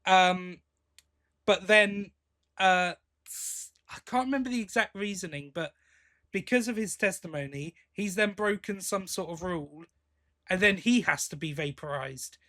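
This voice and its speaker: Man, high voice, casual podcast co-host, enthusiastic, opinionated, stop-start phrasing. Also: unhurried, pushed and bright. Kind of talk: casual chat reviewing audio drama.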